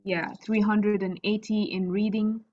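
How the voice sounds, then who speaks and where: Female guest, native Arabic speaker, polite measured answers, muffled lo-fi call audio. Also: mid-range voice, flat delivery. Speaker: guest, recent medical graduate. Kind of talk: answering interview questions.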